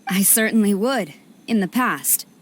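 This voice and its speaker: An actress playing a stern, formal female general in a game cutscene, speaking in a clipped, measured way. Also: mid-range voice, expressive, a little hiss or hum, close to an everyday voice.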